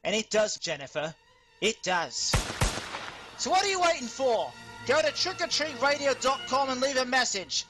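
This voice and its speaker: A woman's voice: high-pitched, very animated, moderate pace. A woman reading a scripted promo as an announcer, in a dramatic and menacing manner. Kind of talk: scripted promo read over music. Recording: voice over music bed.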